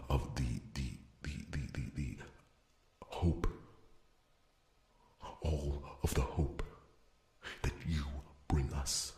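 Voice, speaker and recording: A man playing an earnest, devoted blacksmith warrior in a fantasy ASMR roleplay, stammering and repeating words, with a breathy voice that often sinks toward a whisper. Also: rumbling low. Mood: devoted, reassuring. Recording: roomy, echoing sound.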